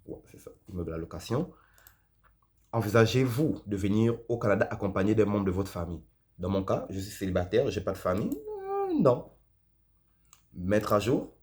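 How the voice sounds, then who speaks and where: A man hosting a casual step-by-step tutorial, reading form questions aloud and thinking out loud as he speaks directly to the viewer. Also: on the low side, moderate pace, pauses often. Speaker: host of a tutorial video.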